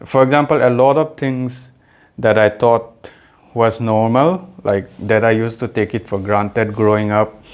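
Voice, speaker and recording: A man's voice: fairly low pitch, fairly even intonation, a little slow, smooth. A man in his thirties from India, a native Adi speaker, talking in a rambling, reflective vlog monologue full of fillers and restarts. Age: 30s. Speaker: vlogger.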